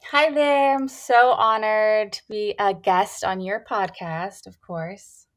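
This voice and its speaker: A woman's voice: medium pitch, fairly even intonation, takes it slowly. A woman from California with a Californian accent, telling her story casually as a podcast guest. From Central Valley, California. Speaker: podcast guest, life coach.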